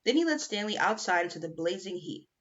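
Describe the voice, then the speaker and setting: A woman's voice: mid-range voice, sing-song, brisk. A woman reading a children's novel aloud as scripted audiobook narration, on a lo-fi home recording. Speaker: audiobook reader.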